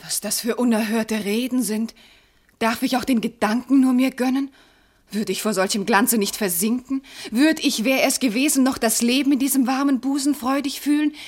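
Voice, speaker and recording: An actress playing a devoted, anguished noble wife in a classical radio drama, declaiming formal verse with fervour. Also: female voice, a little high, steady tone, moderate pace, darkish tone, close to an everyday voice. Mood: tearful devotion. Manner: declamatory, fervent, formal diction. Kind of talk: classical German stage verse in a radio play.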